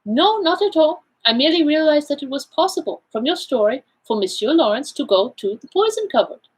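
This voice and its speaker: A woman voicing a courteous, self-assured Belgian male detective in an audiobook, speaking in a deliberate, formal, explanatory way. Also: high voice, big pitch swings, pitched above the performer's own voice. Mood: calm, assured. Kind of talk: audiobook character dialogue.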